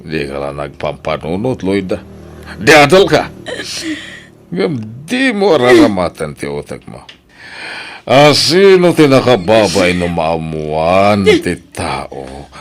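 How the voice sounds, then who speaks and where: A man's voice: low voice, sing-song, slow, exaggerated voice. An actor playing a stern, angry rich father in a radio drama, scolding and domineering, with an Ilocano accent. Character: stern, angry rich father forbidding his daughter's romance.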